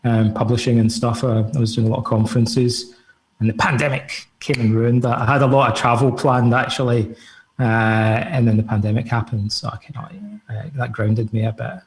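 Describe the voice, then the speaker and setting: A middle-aged Scottish man talks over a video call, loosely and easily, with plenty of fillers. His voice sits at an ordinary middle pitch. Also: flat delivery, a little fast. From Scotland. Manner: easygoing, rambling with many fillers. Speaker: guest, author and psychotherapist. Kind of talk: relaxed conversational interview answers.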